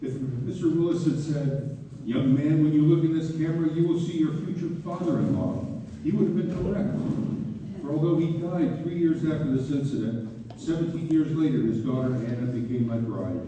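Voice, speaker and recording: A man playing a genial older publisher, reading his reminiscing letter aloud in a measured, nostalgic way. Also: on the high side, warm tone, lightly acted. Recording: distant, very echoey hall, faint noise.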